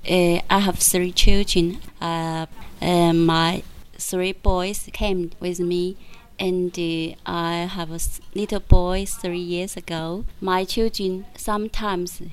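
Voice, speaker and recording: A woman from China, introducing herself haltingly in English class. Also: on the low side, little pitch movement, clear, resonant tone. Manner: halting, searching for words.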